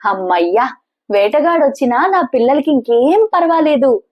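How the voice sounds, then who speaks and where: A woman's voice: sits high, expressive, normal speed, dull audio. A woman voicing an anxious, caring mother parrot from a children's story, with a sing-song, cautioning manner. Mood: relieved.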